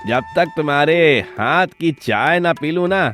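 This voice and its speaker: A man's voice: a little high, a little slow, a bit bright, faint music behind, pitched above the performer's own voice. A man voicing an enthusiastic, admiring village customer in a cartoon, with an effusive manner. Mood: delighted.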